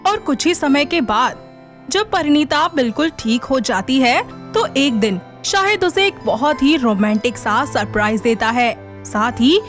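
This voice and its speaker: A woman reading a scripted story narration for an animated moral tale. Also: high voice, unhurried. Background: background music.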